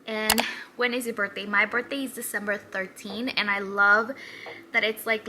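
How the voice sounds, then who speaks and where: Chatty woman in her twenties. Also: medium pitch. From Mexico. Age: 20s. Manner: chatty, rambling, upbeat. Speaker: hairstylist vlogger. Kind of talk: casual Q&A vlog.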